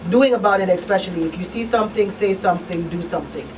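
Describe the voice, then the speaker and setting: A woman's voice: on the low side, fast. A woman hosting a casual radio talk show, speaking emphatically and sometimes reading out announcements. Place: radio studio. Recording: distant mic with faint background noise.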